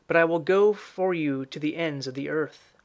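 A desperate storybook mother, as a man reads her, sorrowful and devoted. The voice is on the high side for a male and carries an earnest, pleading manner. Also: moderate pace, lightly acted.

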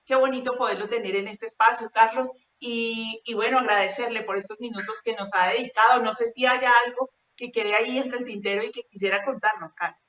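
A woman's voice: fairly high pitch, steady tone, a little fast, hardly any pauses, a bit bright. A woman hosting a news interview over a video call, speaking formally and effusively in long phrases. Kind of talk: interview host remarks. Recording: distant, echoey, dull video-call audio.

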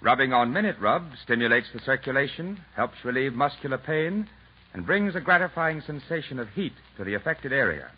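A male voice reading a commercial on an early 1940s radio broadcast, in an emphatic sales-pitch manner. His tone is husky and swings widely in pitch. The sound is dull and lo-fi, like a vintage broadcast. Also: big pitch swings, fairly quick. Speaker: commercial announcer.

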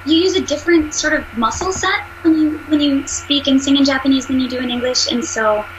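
A woman with a high, nasal voice talks casually as an interview guest. She is chatty and self-deprecating and laughs often. There is a faint hum in the background. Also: brisk, muffled recording. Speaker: singer and voice actress, guest.

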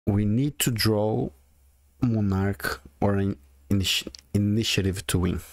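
A man's voice: on the low side, a little slow, dry recording. A man in his forties with a strong Brazilian Portuguese accent, chatting and thinking aloud as he comments on his own card game. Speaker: gaming video creator. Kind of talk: casual gameplay commentary.

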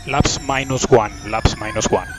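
A man's voice: mid-range voice, fairly even intonation, moderate pace. A man working as a race engineer, giving brief updates and repeating key calls over team radio. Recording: noisy race radio, vehicle noise behind.

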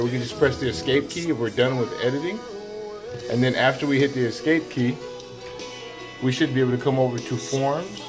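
A middle-aged man, a teacher, walking fellow staff through a computer program in a classroom, talking upbeat and folksy as he narrates each click. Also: mid-range voice. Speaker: teacher leading a staff tech demo. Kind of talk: casual classroom screen demo.

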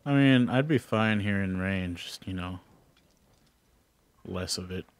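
A man's voice: low voice, a little slow, no room sound. A man chatting on a game stream, joking a lot and laughing at himself. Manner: joking, laughs often, self-deprecating. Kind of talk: casual gaming chat.

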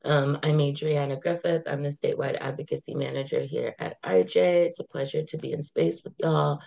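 A woman with a low, congested voice talks over a video call from a room at home. She speaks in a casual, conversational way and leans on a friendly "y'all." Her tone is apologetic and plain. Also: steady tone, fairly quick, vocal fry, mellow. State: congested from a cold. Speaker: advocacy manager.

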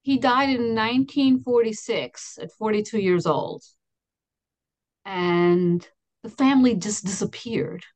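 Animated woman telling family stories. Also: fairly high pitch, takes it slowly, no room sound. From Astoria, Queens, New York. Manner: animated storytelling, many restarts and "you know". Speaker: guest. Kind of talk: casual conversation. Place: home office with framed certificates. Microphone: video call.

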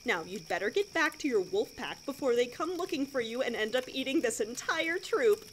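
A woman's voice: on the high side, animated, moderate pace, noisy background, lightly acted. A woman playing a cheery, prim, darkly unfazed scout troop leader in an audio drama, with an encouraging, condescending manner. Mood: scolding.

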